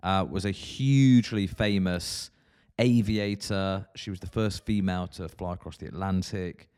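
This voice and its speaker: A man with a fairly low voice co-hosts a podcast. He chats casually, rambles through stories and laughs easily with his co-host. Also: darkish tone, smooth. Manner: jokey, rambling, quick to laugh.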